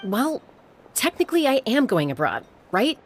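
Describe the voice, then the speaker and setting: An actress playing a headstrong, unflappable older sister and adventurer in a video game, cool, confident and dismissive. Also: female voice, fairly high pitch, big pitch swings, normal speed, a little hiss or hum. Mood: defensive.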